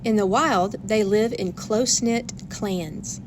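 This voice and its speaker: A woman's voice: medium pitch, takes it slowly, background noise. A woman reading a children's storybook aloud, with careful storyteller phrasing meant for young listeners. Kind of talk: animated read-aloud storybook narration.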